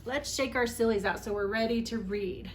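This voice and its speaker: A woman's voice: fairly high pitch, moderate pace. A woman hosting a children's read-aloud, reading a storybook expressively with dramatic emphasis.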